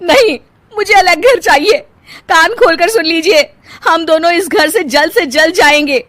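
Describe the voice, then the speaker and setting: A woman's voice: very high voice, moderate pace, a bit bright, voice pushed up. A woman voicing a spoiled, scheming elder sister-in-law in a cartoon drama, haughty, whiny and demanding.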